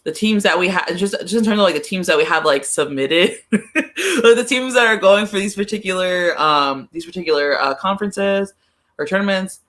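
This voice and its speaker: A woman's voice: medium pitch, moderate pace, fairly bright tone. A woman in a casual sports chat as a podcast guest, giving her opinions informally and hesitantly, often repeating her phrases. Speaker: podcast guest, soccer pundit.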